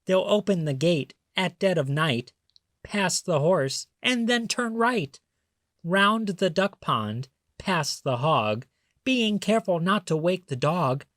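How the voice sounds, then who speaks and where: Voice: mid-range voice, animated, slow pace, a bit bright, dry recording, clean studio sound, voice pushed up. A storybook reader voicing a tiny, clever ladybird who earnestly lays out a plan, in a children's read-aloud style. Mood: earnest, instructive.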